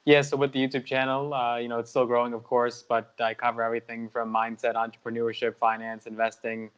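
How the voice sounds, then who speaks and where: Flat-voiced young man, smooth tone. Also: medium pitch, fairly quick, highs cut off. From United States. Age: young adult. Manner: steady, lots of "uh" and "you know". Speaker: guest. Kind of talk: interview answers.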